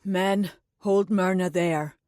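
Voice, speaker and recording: Woman doing a lowered commanding male voice, slow and gentle, audiobook character, dry close recording. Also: steady tone, no room sound. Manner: deep, slow, authoritative yet gentle. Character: commanding grave man, gentle with child.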